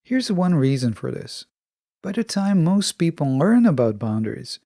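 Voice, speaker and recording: A man with a fairly high voice and a creaky edge, recorded close and dry. He delivers a scripted self-help podcast monologue in a measured, instructive way. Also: a little mellow, no room sound. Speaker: podcast host.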